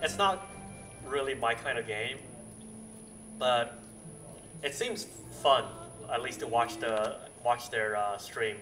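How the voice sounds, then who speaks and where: A man's voice: medium pitch, slow pace, pauses often, bright, forceful tone. A man chatting casually as he streams a game, hesitant and thinking aloud. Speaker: live streamer playing a strategy game. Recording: distant mic with game music underneath. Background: game music and sounds.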